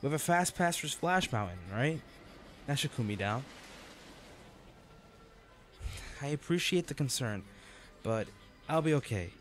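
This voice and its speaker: A man playing a wealthy, devoted, protective lover in a romantic audio roleplay, speaking tenderly and earnestly with halting phrases. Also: medium pitch, animated, a little hiss or hum, close to an everyday voice. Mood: lighter, affectionate.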